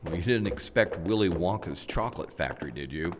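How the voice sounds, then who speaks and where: A man's voice: low-pitched, lively intonation, fairly quick, long reverb tail, very noisy. A man acting in a radio play as a genial, mischievous guide with a folksy, wry, conversational manner. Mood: matter-of-fact, wry. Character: genial, mischievous man guiding visitor into hell.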